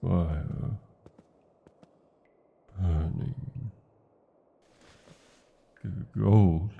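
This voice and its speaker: Very deep, gravelly British dragon. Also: male voice, narrow, tinny audio, exaggerated voice. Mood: drowsy muttering. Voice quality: gravelly, husky. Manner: archaic, grandiose phrasing with lingering pauses. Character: huge ancient male dragon, lovesick, proud, tender, commanding. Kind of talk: romantic fantasy ASMR roleplay, slow theatrical delivery.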